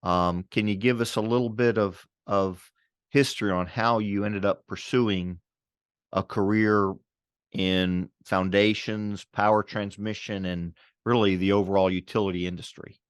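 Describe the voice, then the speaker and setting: Guy cohosting a podcast, low-ish voice, dry room, excited and stumbling a bit. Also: clear, resonant tone, no echo. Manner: enthusiastic, halting with restarts. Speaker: podcast co-host. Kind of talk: casual interview chat.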